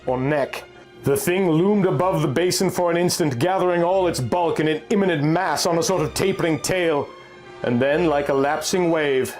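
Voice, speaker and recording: A man reading a first-person pulp horror story as a narrator, in a formal, measured, dramatic literary style. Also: a little high, darkish tone. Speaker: audiobook narrator. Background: music.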